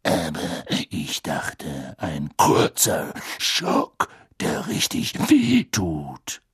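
A gruff old wizard teacher from a fantasy audiobook. He growls in a husky voice and drags his words out slowly. His delivery swoops widely, menacing yet unbothered. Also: a bass voice, huge pitch swings, no room sound, studio quality, voice pushed down, full character voice.

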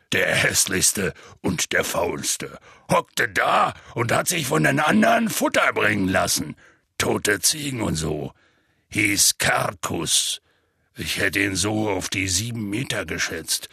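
A man voicing a huge, gruff, good-natured male half-giant who tells a rambling, folksy story in a deep gravelly rumble. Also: very animated, unhurried, sharp tone, no echo, clean studio sound, heavily acted. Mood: telling tale. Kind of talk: audiobook character voice.

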